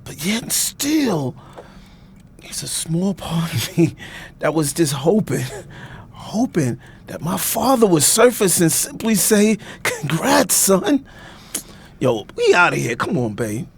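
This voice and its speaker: A man's voice: high-pitched, sing-song, slow pace, vocal fry, slight background noise, full character voice. A man playing an angry, wounded grown son in an audio drama, sarcastic and slangy as he defies his family. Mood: hurt, bitter.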